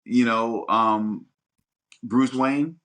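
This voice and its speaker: A man's voice: mid-range voice, extremely slow, a bit bright. A man co-hosting a podcast in casual chat, emphatic and repeating his words in bursts. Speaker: podcast co-host.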